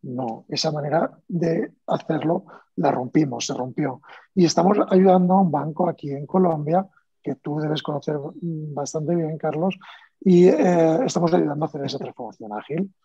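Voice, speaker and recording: A man with a Spanish accent speaks as a panelist in a conversational, animated way, with many fillers and restarts. His voice sits a little high, yet it sounds dark and husky. The audio is dull and dry, like muffled online panel audio. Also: normal speed, no echo. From Spain. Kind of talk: panel discussion.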